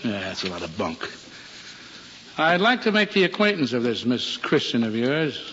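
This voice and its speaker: A kindly, middle-aged American policeman father in a vintage radio drama. He talks in a folksy, teasing, fatherly way at a medium pitch. The sound is muffled and reverberant with faint noise. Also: sing-song, moderate pace, fairly bright tone. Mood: fatherly, firm. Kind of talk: old-time radio drama acting. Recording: dull, hall-like vintage radio recording, noisy.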